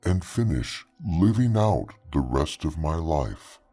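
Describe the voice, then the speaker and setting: A man voicing an eerie male spirit in a horror story, pleading slowly in a low gravelly voice. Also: very animated, warm tone, faint music behind, heavily acted. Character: male ghostly spirit pleading to possess the living.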